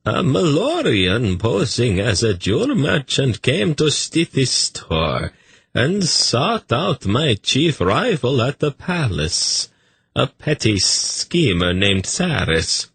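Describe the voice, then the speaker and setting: A man voicing a dreamy, scheming male eunuch in an audiobook, telling a story in a smooth, lilting way. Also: medium pitch, huge pitch swings, slow, fairly bright tone, no room sound, voice pushed up, heavily acted. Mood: wry storytelling.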